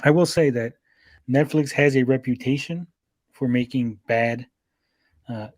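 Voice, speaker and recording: A man speaking as a podcast panelist in a casual discussion, in a hesitant, measured manner. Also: medium pitch, darkish tone, no room sound.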